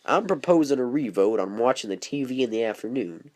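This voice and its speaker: Woman doing a cocky rebel guy patient in a student film, low voice, folksy, defiant, needling. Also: expressive, fairly quick, a little mellow. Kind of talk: scripted amateur film dialogue.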